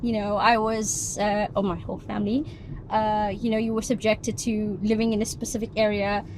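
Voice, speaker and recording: A woman's voice: mid-range voice, little pitch movement. A middle-aged woman from South Africa, giving thoughtful answers as an expert guest in a casual interview and laughing often. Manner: thoughtful, laughs often, slows to stress points. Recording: background noise.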